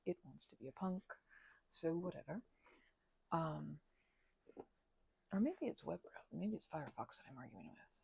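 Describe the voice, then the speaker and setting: A woman rambling casually as an ASMR host, mumbling in a low-key, musing way, her voice hushed and often slipping toward a whisper. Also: creaky, husky, no room sound, poor audio. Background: keyboard clicking.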